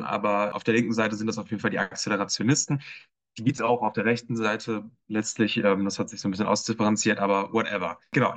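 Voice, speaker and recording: A man in an editorial team giving a casual spoken review of a performance, hesitant and full of filler sounds. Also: lowish voice.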